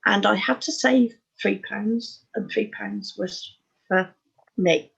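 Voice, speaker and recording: Older English woman, sixties, husky voice on slightly dull audio, giving a frank, unpolished personal account as a guest. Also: mid-range voice, takes it slowly. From Oxfordshire, England. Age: 60s. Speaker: interview guest. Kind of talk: candid personal life story in conversation.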